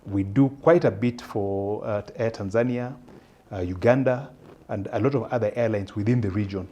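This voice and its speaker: A Kenyan man with a fairly low, husky voice answers as an interview guest. He explains in a measured, halting way, often pausing to find the right word. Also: dark tone. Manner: halting, measured, searching for words. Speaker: interview guest, public policy analyst.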